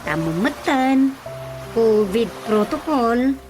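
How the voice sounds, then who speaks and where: A woman's voice: a little high, expressive, takes it slowly, over music. An actress playing a woman chatting familiarly in a radio drama, with an Ilocano Filipino accent. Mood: conversational.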